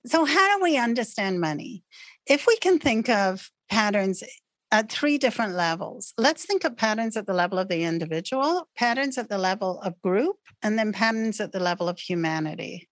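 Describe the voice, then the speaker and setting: A woman speaks as a podcast guest, teaching with lively emphasis and frequent "right?" and "okay" checks. Her voice sits mid-range. The sound is dry and close, with no room echo. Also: mid-range voice, moderate pace. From North America. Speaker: guest expert on patterns. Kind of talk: podcast interview, explaining.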